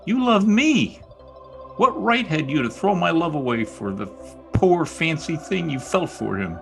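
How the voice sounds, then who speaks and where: Male actor as anguished bitter lover, theatrical radio drama delivery, highly animated intonation, music underneath. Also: a little slow, creaky, heavily acted. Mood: bitter, reproachful. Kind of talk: old-time radio drama acting. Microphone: recorded remotely over video call.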